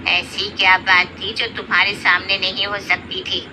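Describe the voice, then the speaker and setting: Concerned, prying mother for a family story, female performer: middle-aged, lowered register, urging, noise on track. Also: little pitch movement, moderate pace, close to an everyday voice. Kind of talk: Hindi moral story acting.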